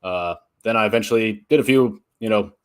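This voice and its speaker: A man's voice: on the low side, fairly quick, smooth. A man in his thirties, a guest telling his story in a casual interview, with lots of "uh" and restarts. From Texas and Oklahoma. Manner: many fillers and restarts, laughs easily. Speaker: guest, nonprofit founder and strength coach.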